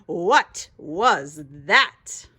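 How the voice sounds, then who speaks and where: A woman's voice: on the low side, expressive, extremely slow, sharp tone, slightly rough, full character voice. A woman voicing a bouncy, boastful little boy kitten who plays at being a Chihuahua hero, with exclamations and singsong rhymes in a storybook reading. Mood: excited, boastful.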